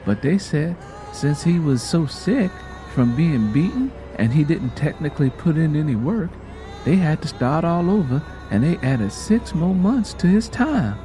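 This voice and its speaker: A man's voice: fairly high pitch, big pitch swings, a little slow, warm tone, faint music behind. A man voicing a sorrowful mother who recounts hard family history in a plainspoken, reflective, folksy way. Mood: matter-of-fact.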